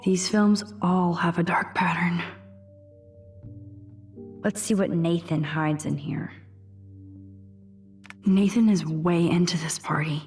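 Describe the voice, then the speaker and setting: An introspective American teenage girl sleuth in a video game, speaks her thoughts aloud in a hushed, breathy murmur. Her voice sits slightly low and moves at an unhurried, slow pace, wry and observant. Music plays underneath. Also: fairly even intonation. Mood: pensive, sardonic. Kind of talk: game character thinking aloud.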